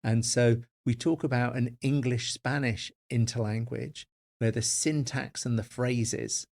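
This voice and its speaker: Podcast co-host, middle-aged man, measured and hesitant, repeats words while thinking. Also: mid-range voice, fairly even intonation, gravelly, darkish tone. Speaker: podcast co-host, forensic linguist. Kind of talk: academic podcast discussion.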